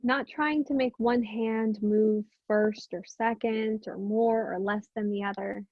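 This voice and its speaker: A woman teaching meditation, speaking gently and unhurriedly with long pauses in soothing guided instruction. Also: mid-range voice, fairly even intonation, no echo, lo-fi sound.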